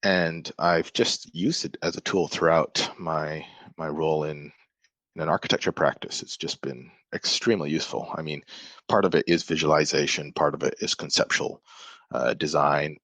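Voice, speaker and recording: Low-voiced man explaining software, dry sound. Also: steady tone, normal speed, no room sound. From Malaysia. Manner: thoughtful, explanatory, with frequent restarts. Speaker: podcast guest, software developer. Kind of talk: casual interview chat.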